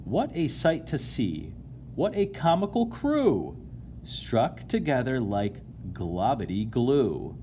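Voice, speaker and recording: A man reading a children's picture book aloud in an expressive, playful way, drawing out key words and chatting to his young listeners. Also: mid-range voice, takes it slowly, slight background noise. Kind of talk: animated read-aloud to children, with asides to listeners.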